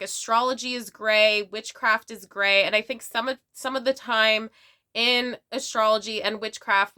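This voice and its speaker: A woman's voice: a little high, bright, forceful tone. A woman giving a casual tarot reading, bubbly and chatty, often tagging her lines with "okay?", a little sick and sniffly. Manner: bubbly, tags lines with "okay?" and "all right?". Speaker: tarot reader.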